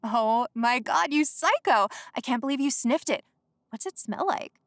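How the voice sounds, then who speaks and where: Wry young woman reporter, higher voice. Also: animated, moderate pace, no echo, studio quality. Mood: teasing, curious. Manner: sarcastic, teasing. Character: wry, curious young female reporter chasing a story. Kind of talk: audio drama comedy acting.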